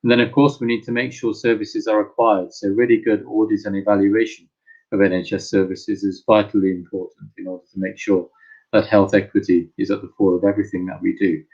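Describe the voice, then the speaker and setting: Man, panel speaker, measured, organized, husky, dull lo-fi audio. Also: fairly low pitch, little pitch movement, darkish tone. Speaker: health panelist. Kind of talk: panel talk.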